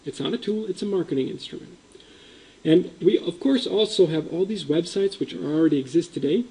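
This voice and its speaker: A man's voice: on the high side, brisk, mellow, low-quality recording. A man who grew up in Indonesia, giving a conference presentation in a meeting room, explaining with emphasis and frequent ums.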